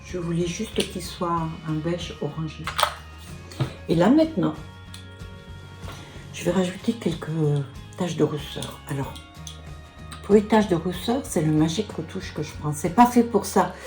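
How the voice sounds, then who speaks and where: A woman's voice: on the low side, unhurried, pauses often, dark tone, soft background music. Chatty woman in her sixties. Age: 60s. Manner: chatty, fills with "hein" and "voilà". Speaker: beauty vlogger. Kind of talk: casual makeup tutorial and product review.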